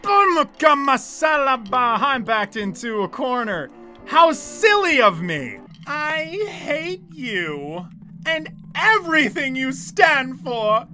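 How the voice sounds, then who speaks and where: A man's voice: very high voice, big pitch swings, drawn-out words, bright, forceful tone, faint music behind. A man in his twenties commentating casually on a livestream, joking and swearing as he reads chat, close to a desk microphone in a small room. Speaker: game streamer. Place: small dim room indoors. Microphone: desk microphone close in front.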